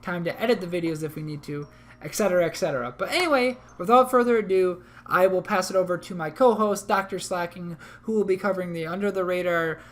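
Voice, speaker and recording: A man co-hosting a league recap, talking through a match in a rambling, self-correcting way, a little hoarse from a cold. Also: sits high, fast. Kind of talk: casual game recap commentary.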